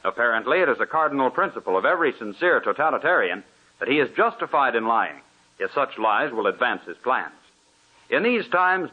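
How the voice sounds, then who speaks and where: Male vintage radio announcer, oratorical scripted address, husky tone, dull old broadcast sound. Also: medium pitch. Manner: formal, earnest, oratorical. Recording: muffled old radio recording.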